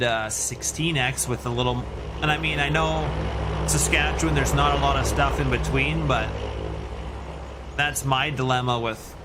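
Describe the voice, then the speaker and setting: A man's voice: medium pitch, pushed and bright, slight background noise. A Canadian man chatting casually and in a rambling way as he hosts a video game livestream and responds to his chat. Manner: rambling, reacting to chat messages.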